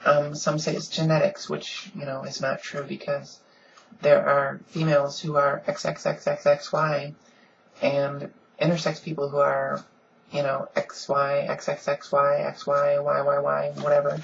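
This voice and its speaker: A woman with a deep voice she softens a little, talking earnestly and casually in a home vlog with lots of ums. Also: monotone, measured pace, vocal fry, dull audio, slight background noise. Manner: frequent "um"s, earnest and conversational. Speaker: vlogger. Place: at home, in pajamas.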